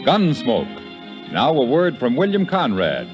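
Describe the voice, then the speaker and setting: A man's voice: mid-range voice, wildly swooping pitch, slow, over music. A man with an American accent reading scripted credits as a 1950s radio announcer. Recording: dull vintage radio broadcast.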